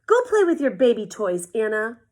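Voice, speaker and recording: A young storybook boy, acted out by a woman, with an emphatic, childlike delivery. The pitch sits high and swings around freely, as befits an earnest kid. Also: very animated, moderate pace, darkish tone. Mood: grumpy. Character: young boy, grumpy then eager, kind-hearted. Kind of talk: children's storybook character reading.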